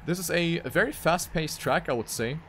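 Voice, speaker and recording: A man's voice: on the high side, moderate pace, fairly bright tone, a little hiss or hum. A man giving live commentary on a racing video game as an esports caster.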